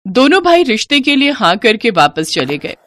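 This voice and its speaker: A woman's voice: fairly high pitch, very animated, moderate pace, a bit bright, a little hiss or hum. A woman narrating a scripted moral story as a storyteller.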